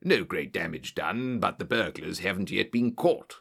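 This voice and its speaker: A man voicing a bluff, genial old-soldier colonel, an English country gentleman, in an audiobook story. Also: low voice, expressive, normal speed, vocal fry, breathy edge, voice pushed down, full character voice. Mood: conversational, bluff.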